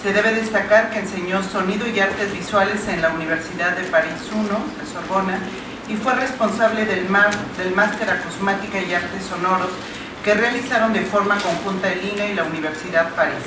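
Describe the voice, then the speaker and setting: A woman hosting a conference, formally reading out the introduction of the keynote speaker in a measured way. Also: medium pitch, steady tone. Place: large conference hall. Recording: echoey hall from far off, noisy.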